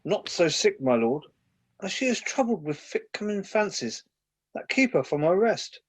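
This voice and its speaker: An amateur actor playing a cautious, deferential court physician in a Shakespearean radio play, speaking in a measured way. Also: male voice, sits high, very animated.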